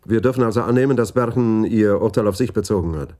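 An actor playing a businesslike, decisive police detective in a radio crime drama. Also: male voice, on the low side, quick pace, dark tone, lightly acted. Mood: businesslike, probing.

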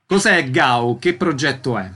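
A man's voice: medium pitch, expressive, unhurried, sharp tone. A man presenting and asking questions as a radio interviewer.

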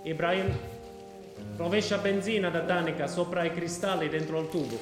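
An actor playing a grave cell leader in a radio drama, speaking with dropped articles. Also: male voice, high-pitched, close to an everyday voice. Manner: broken grammar with dropped articles. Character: grave foreign bomb-maker, leader of a terror cell. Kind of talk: stage radio drama, narrating actions in present tense. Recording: roomy stage sound with music.

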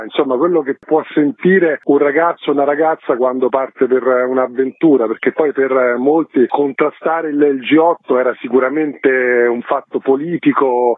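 A man's voice: medium pitch, no echo. Middle-aged man on a dry phone line. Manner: discursive, explanatory. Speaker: author, interview guest. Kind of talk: reflective radio interview.

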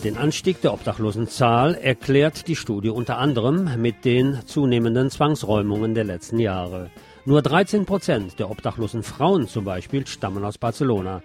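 A man reads scripted news reports as a presenter. His voice sits at an average male pitch. Guitar music plays behind him. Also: a little mellow. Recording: music under the voice.

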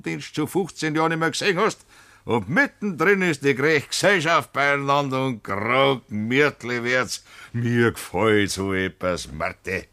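A man's voice: mid-range voice, pushed and bright, no echo, studio quality, exaggerated voice. An actor playing a jovial, beer-loving man with a Bavarian accent in a 1960s radio play, folksy and cajoling.

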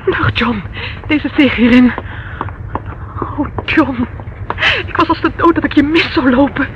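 A devoted young woman, anxious yet brave, in an old radio drama. Her voice is very high. The sound is dull, with clear noise behind her. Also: a little slow. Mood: breathless relief. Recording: muffled, noisy old radio drama recording.

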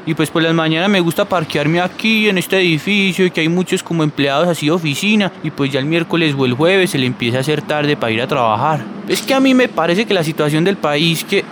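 A man plays a humble, rambling Colombian working guy in a radio drama. His voice is fairly high, with clear outdoor noise around it. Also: lively intonation, a little fast, few pauses, close to an everyday voice. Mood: earnest, rambling. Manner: colloquial, deferential. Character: rambling, deferential male street worker. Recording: noisy outdoor street ambience.

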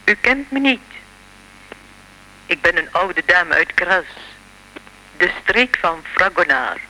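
An actress playing a composed, mysterious old French lady in a radio play, speaking formally and with measured care. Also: lowish voice, lively intonation, sharp tone, heavily acted, rough recording. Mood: composed, revealing. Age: older.

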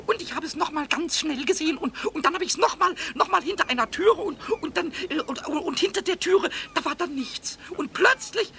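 A man voicing a cheeky, excitable little kobold in a 1970s children's radio play, stammering and repeating words. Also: very high-pitched, fairly quick, gravelly, hardly any pauses, a little hiss or hum, heavily acted. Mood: excited, insistent. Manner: stammering, breathless word repetitions.

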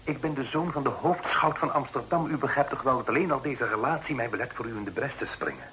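An actor playing an earnest, cautious young gentleman in a period radio drama, speaking in a formal, measured way. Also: mid-range voice, close to an everyday voice. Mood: cautious, regretful. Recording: noisy old radio drama recording.

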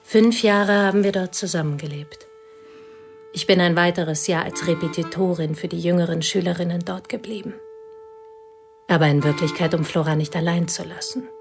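An actress in a radio play playing a bitter, possessive spinster, speaking in intense, deliberate short phrases with a breathy voice. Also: female voice, lowish voice, steady tone, faint music behind, natural voice. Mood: proud, insistent. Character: bitter, possessive spinster devoted to her girlhood friend. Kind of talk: radio play acting in German.

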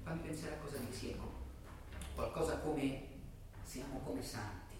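A man's voice: medium pitch, moderate pace, lightly acted. A man acting a volatile, echoey armchair terrorist. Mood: brooding, low. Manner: swings from brooding murmur to shouted outbursts. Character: volatile, violent, self-pitying male armchair terrorist. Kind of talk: dramatic monologue from a stage play. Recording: very echoey room, distant mic, faint noise.

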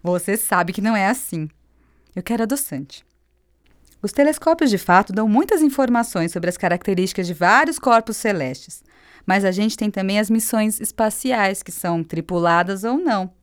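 A woman's voice: medium pitch, animated, moderate pace, no echo, studio quality, lightly acted. A woman with a Brazilian accent playing a patient, friendly astronomer who explains things in a scripted radio drama. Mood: patient explaining. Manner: explanatory, didactic. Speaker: actor in an educational radio drama.

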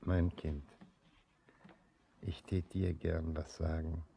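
An actor playing a bride's aging father in a radio drama, gentle and troubled, speaking solemnly and haltingly. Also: extremely low pitch, flat delivery, creaky, heavily acted. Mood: tender, wistful. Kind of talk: poetic radio drama acting. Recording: faint background noise.

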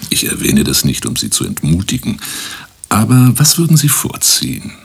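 A man playing an eccentric, pompous guru-like professor in a radio play, lecturing with drawn-out emphasis. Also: low voice, huge pitch swings, vocal fry, breathy edge, full character voice. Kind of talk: German radio play acting.

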